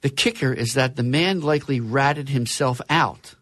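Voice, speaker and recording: A man's voice: medium pitch, moderate pace, a bit bright, no echo, studio quality. A man hosting a casual chat show, talking loosely and reading news stories aloud with wry asides. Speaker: podcast host.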